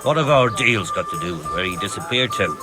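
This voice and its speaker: An actor playing a gruff, evasive innkeeper with shady dealings in a video game. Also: male voice, lowish voice, big pitch swings, a little fast, loud music behind the voice. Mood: curt, defensive. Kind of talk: video game cutscene acting.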